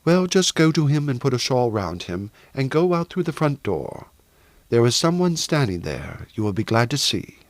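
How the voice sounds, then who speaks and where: A man voicing a brave, weary, good-humoured young gentleman soldier in an audiobook novel. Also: medium pitch, big pitch swings, normal speed, no echo. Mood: reassuring.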